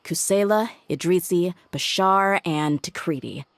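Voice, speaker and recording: A low-voiced tough mercenary. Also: female voice, a little slow, no echo. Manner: steady, reasoning aloud, blunt. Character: tough, loyal female desert mercenary, young adult. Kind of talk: game dialogue.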